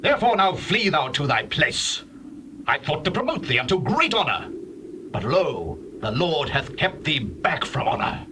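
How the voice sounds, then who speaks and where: A man's voice: fairly high pitch, very animated, normal speed. An actor playing an angry, proud king in a dramatized audio Bible, declaiming archaic lines theatrically. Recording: hissy old cassette tape.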